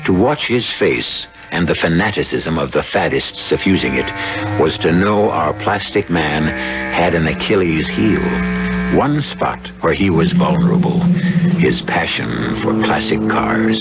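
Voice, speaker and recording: A man hosting a 1970s radio mystery, narrating in an ominous, measured, ornate theatrical style. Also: low voice, expressive. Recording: muffled vintage radio recording, music behind.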